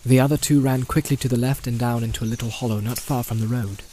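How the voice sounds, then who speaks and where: A man narrating a fantasy audiobook as a deliberate, atmospheric and suspenseful storyteller. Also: mid-range voice, fairly even intonation, dark tone, slight background noise. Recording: home-made, nature soundscape mixed behind. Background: animal and nature sound effects.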